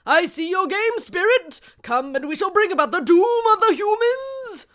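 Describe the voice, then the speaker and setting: A woman's voice: very high voice, lively intonation, normal speed, fairly bright tone, pitched above the performer's own voice. A woman voicing a small green alien boy bent on world conquest, declaiming every line as a bombastic megalomaniac in a fan story reading. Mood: triumphant.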